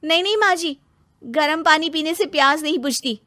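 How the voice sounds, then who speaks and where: A woman's voice: sits high, moderate pace, pushed and bright, dry recording, studio quality, voice pushed up. A woman voicing a young Indian daughter-in-law and new mother, lazy, wheedling and complaining, in a moral story. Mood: peevish. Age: young adult. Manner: whiny, wheedling, excuse-making. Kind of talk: animated moral story acting.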